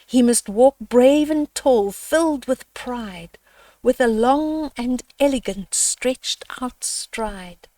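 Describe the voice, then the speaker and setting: A woman voicing a wise old owl in a children's storybook, lecturing the animals in a measured, authoritative way. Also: on the high side, lively intonation, mellow, dry recording, distant mic. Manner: measured, instructive, rhetorical. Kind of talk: children's storybook read-aloud.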